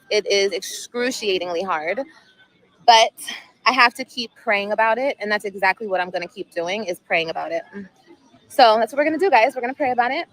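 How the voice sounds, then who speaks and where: A woman's voice: fairly high pitch, fairly bright tone, rough recording. A woman in her thirties chatting casually on a livestream outdoors at sunset, emotional and rambling, repeating herself often. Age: 30s. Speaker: vlogger.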